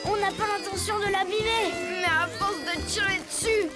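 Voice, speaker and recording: A woman's voice: very high-pitched, steady tone, moderate pace, over loud music. A woman voicing a kind, brave young male leopard cub in a cartoon dub, polite and eager. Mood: eager, helpful. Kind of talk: cartoon dubbing.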